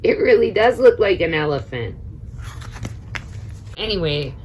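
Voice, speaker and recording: A woman's voice: lowish voice, sing-song, measured pace, a little mellow, highs cut off. A woman reading a children's picture book aloud, expressively, adding informal comments of her own as she goes. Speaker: storyteller, teaching assistant. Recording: noticeable background noise.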